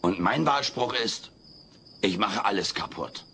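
A cheeky little alien troublemaker, brash and wisecracking, with a bright, punchy voice that jumps all over its range. He is showy and theatrical, in a sitcom audio play on noisy old cassette. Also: on the low side, animated, a little slow, heavily acted. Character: brash wisecracking male alien creature, mischievous and childlike. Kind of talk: German-dubbed sitcom audio play acting.

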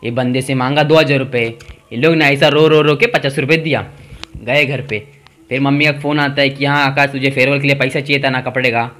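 A man's voice: medium pitch, steady tone, brisk, fairly bright tone. A young man doing stand-up, telling stories about his friends and acting out their lines with big gestures, recorded indoors on a fixed camera mic. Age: young adult. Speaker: stand-up comedian. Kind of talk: stand-up storytelling. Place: indoor room in front of a brick-wall backdrop.

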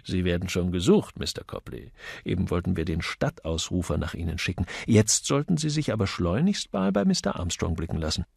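A flippant office colleague in an audiobook, read by a male narrator. He teases in an everyday male voice with a gravelly edge. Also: sits low, animated, brisk, darkish tone, dry recording, clean studio sound, pitched below the performer's own voice, full character voice. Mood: teasing.

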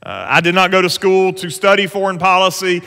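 Bright-voiced pastor preaching in a hall. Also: male voice, high-pitched, steady tone, fairly quick, smooth. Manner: earnest, conversational preaching with rising emphasis on scripture. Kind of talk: sermon. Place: church sanctuary stage. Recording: long church hall reverb.

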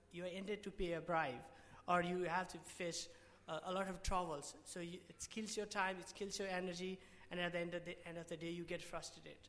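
A young man with a Nepali accent speaks in a fairly high voice from a podium at a conference. He stumbles and restarts often, and the long reverb of a big hall trails behind his words. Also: fairly bright tone. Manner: hesitant, many restarts and fillers. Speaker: award presenter. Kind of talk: conference pitch talk. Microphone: podium mic.

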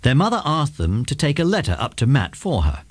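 Guy reading a kids story, really animated, bit husky, dry sound. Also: medium pitch, a little fast, dry recording. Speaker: narrator. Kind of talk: scripted children's story narration.

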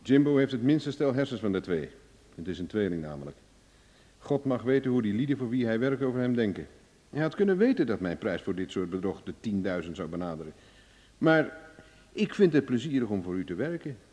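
A self-assured private investigator in an old radio drama. His voice is mellow and middle-pitched, with animated, wry phrasing. The recording carries a long reverberant tail. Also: brisk. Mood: wry, amused. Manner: glib, ironic, self-assured. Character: cocky, cool-headed male private investigator, wry and bargaining. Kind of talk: Dutch radio drama acting.

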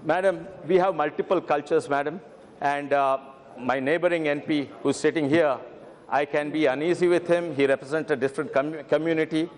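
A man's voice: fairly high pitch, fairly even intonation, a little fast. Earnest man addressing parliament. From Telangana, India. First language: Telugu. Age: middle-aged. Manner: earnest, repeats "madam" often, brief stammers. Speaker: member of parliament. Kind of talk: parliamentary speech. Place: large parliament chamber. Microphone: desk microphone. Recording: reverberant parliament chamber.

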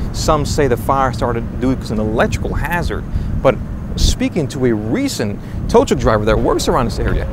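A young man in his twenties gives an earnest, dramatic on-scene news report outdoors at night, wording things loosely and restarting often. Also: medium pitch. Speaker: independent street reporter. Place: outdoors at night on a city street. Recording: outdoor recording with street noise. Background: street and traffic noise.

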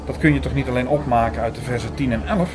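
A man narrating an audio drama in the first person, as an attentive, sceptical storyteller in a measured, bookish manner. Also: medium pitch, noisy background, lightly acted. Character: Hugo, attentive, sceptical male listener and storyteller. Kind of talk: scripted first-person narration and reading aloud. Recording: music under the voice.

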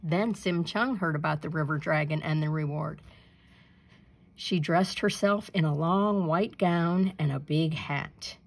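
A woman reading a children's folktale aloud in an expressive, deliberate storytelling manner. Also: deep voice, gravelly. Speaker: storybook reader. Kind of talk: scripted narration, children's folktale read aloud.

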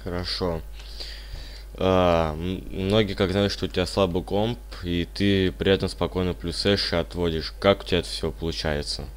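A man's voice: low-pitched, steady tone, moderate pace, clear, resonant tone. A man hosting and interviewing, a bit ill, asking short plain questions. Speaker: interviewer, channel host. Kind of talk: casual interview.

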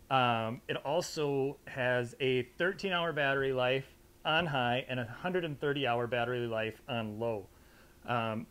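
A man's voice: mid-range voice, a bit bright. An American man in his thirties presenting a casual, conversational product review to camera in an indoor studio. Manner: conversational, informal. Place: indoor studio with fabric backdrop.

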